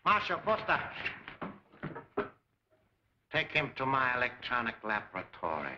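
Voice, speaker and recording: An actor playing a suave, menacing Japanese mastermind in a 1940s film serial, speaking formally with cold, pompous courtesy. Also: male voice, medium pitch, huge pitch swings, fairly quick, noisy background. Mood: coldly commanding. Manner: formal, grandiose, coolly courteous. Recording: dull 1940s film serial soundtrack, music.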